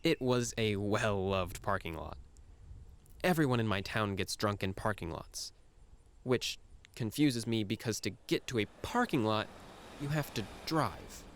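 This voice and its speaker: A man's voice: lowish voice, lively intonation, a little slow, a little hiss or hum. A man performing a scripted first-person monologue in a reflective, musing manner with a wry edge.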